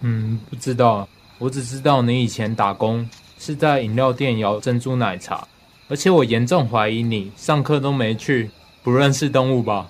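An actor playing a concerned, gently teasing older male friend in a radio drama, casual and inquisitive. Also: medium pitch, normal speed, a little hiss or hum, natural voice.

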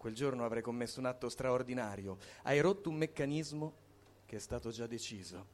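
Medium-pitched man reciting poetry emphatically. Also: brisk. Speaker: poetry reader.

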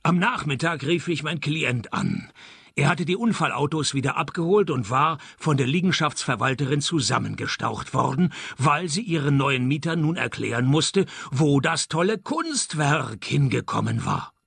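Husky, wry male private detective. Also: a little high, sing-song, moderate pace, a bit bright, no echo, full character voice. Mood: dry narrating. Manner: dry, laconic, sardonic. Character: wry, deadpan private detective narrating his own case. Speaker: narrator and lead actor. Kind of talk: radio play detective narration and dialogue.

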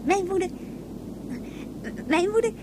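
A woman's voice: very high-pitched, slow. A woman in a radio drama playing a chatty, matter-of-fact young girl. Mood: earnest. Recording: clear background noise.

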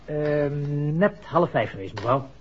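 An actor playing a chatty, deferential household servant in a 1960s radio drama. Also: male voice, a little high, expressive, takes it slowly. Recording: dull, faintly noisy old radio recording.

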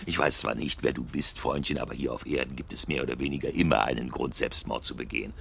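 An actor playing a cold, sardonic murderer in a German radio drama, muttering calculatingly and mockingly to himself. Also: male voice, a bass voice, fairly even intonation, quick pace, creaky, fairly bright tone, breathy edge, exaggerated voice. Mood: calculating, smug. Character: cold, sardonic murderer staging a man's suicide.

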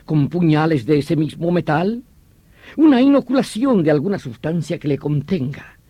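Radio drama detective: obsessed villain-hunter, theatrical and emphatic, mellow tone, very animated pitch, dry close sound. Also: male voice, husky, no room sound, heavily acted. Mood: confident. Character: vehement veteran police detective obsessed with hunting a vampire villain.

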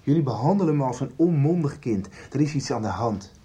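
A man's voice: medium pitch, lively intonation, brisk, warm tone, close to an everyday voice. A young male actor playing a headstrong, defiant teenage son in a radio soap, insistent and argumentative. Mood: defiant, frustrated. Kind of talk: 1990s Dutch radio soap acting.

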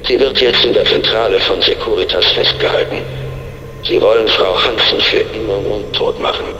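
An actor playing a mysterious, commanding masked informant in an audio drama, speaking in a deliberate, stern and formal way. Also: male voice, lowish voice, pushed and bright. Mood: grave, explaining. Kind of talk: German audio drama acting. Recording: echoey reverberant space, some noise.

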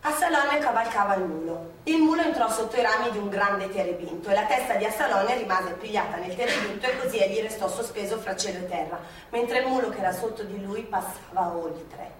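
An actress playing a spirited, rebellious schoolgirl telling her story in a lively, defiant way, recorded on a theatre stage. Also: medium pitch, a little fast, few pauses, distant mic, natural voice. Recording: echoey stage recorded from afar, hiss.

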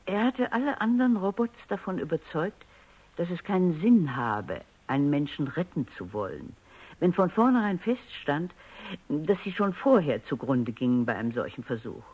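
A woman's voice: sits low, very animated, normal speed, breathy edge, phone-quality sound, heavily acted. A self-assured woman scientist in a radio play. She speaks with analytical precision, phrasing each thought like an expert. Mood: composed, explanatory. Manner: precise, lecturing, composed. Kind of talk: German radio drama acting.

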